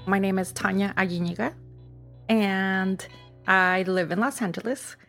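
Woman, middle-aged, from Mexico. Interview guest, informal storyteller, medium pitch, lots of "like". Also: steady tone, measured pace, fairly bright tone, faint music behind. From Tijuana, Mexico. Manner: informal, peppers speech with "like". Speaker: guest, artist and designer. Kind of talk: casual personal storytelling interview.

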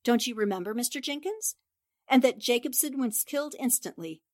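A woman acting a sharp, confident young newspaperwoman in a 1940s-style radio drama, crisp and assured as she reasons things out. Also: on the high side, lively intonation, a little fast, natural voice. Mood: coolly accusing. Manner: crisp, assured, explaining deductions.

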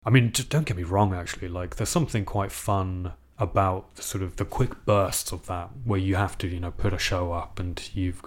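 A young man from Iceland with a British accent, speaking as a guest in a reflective interview, thoughtful and halting with many fillers and restarts. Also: sits low, fairly even intonation. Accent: British English. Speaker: guest, composer.

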